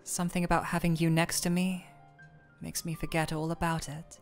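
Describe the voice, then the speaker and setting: A young woman playing a shy, smitten former coworker in an intimate romantic audio roleplay, speaking softly and haltingly. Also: on the low side, level tone, soft background music, lightly acted. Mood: tender, content. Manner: shy, halting, soft-spoken.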